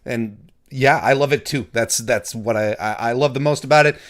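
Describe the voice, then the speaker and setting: A man hosting a wrestling interview, talking in halting restarts and cracking jokes. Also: medium pitch. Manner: halting, many restarts, joking. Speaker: interview host. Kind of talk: casual interview.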